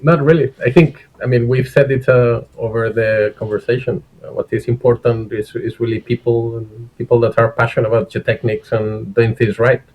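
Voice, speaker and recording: Spanish man, relaxed and dryly joking. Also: mid-range voice, normal speed, hardly any pauses, muffled recording. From Spain. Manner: relaxed, dry humor, laughs between points. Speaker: guest engineer. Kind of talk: casual interview chat.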